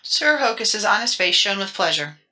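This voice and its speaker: Bright-voiced woman narrating a storybook. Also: mid-range voice, big pitch swings, moderate pace. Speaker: audiobook narrator. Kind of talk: dramatic scripted storybook narration.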